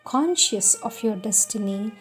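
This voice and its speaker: A woman reading spiritual teachings aloud as a solemn, scripted devotional piece, in a measured, earnest and instructive manner. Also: medium pitch, expressive, soft background music.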